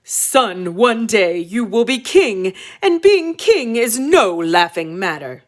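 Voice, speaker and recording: A stern royal father from a children's storybook, acted out by a woman. The king blusters and roars at his son, slow and emphatic, with big swings in pitch. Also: very animated. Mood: stern, indignant. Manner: emphatic, roaring. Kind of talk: children's storybook read-aloud.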